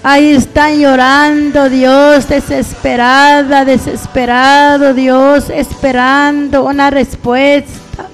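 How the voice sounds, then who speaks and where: A woman with a very high voice leads a fervent intercessory prayer. She pleads slowly and evenly, breaking her prayer into short repeated appeals to God. Faint music plays behind her. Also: little pitch movement, poor audio. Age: middle-aged or older. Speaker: evangelical preacher praying on a radio programme.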